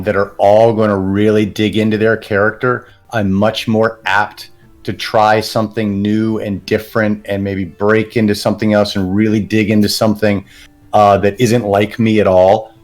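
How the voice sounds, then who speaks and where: A man talking casually as a guest on a podcast, thoughtful and relaxed. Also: fairly low pitch.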